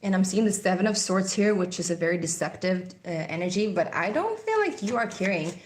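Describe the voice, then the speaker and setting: A woman's voice: mid-range voice, expressive, fairly quick, a little mellow, echoey room. Swedish-accented woman giving a rambling tarot reading. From Sweden. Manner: meandering, intuitive, repeats key phrases. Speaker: tarot reader. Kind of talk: casual tarot card reading.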